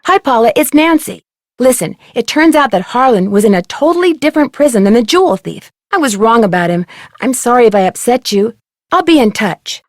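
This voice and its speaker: Young female sleuth for a mystery game: higher pitch, dry studio sound, polite, inquisitive, earnest. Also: sing-song, a little fast, no echo. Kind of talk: scripted game dialogue and narration.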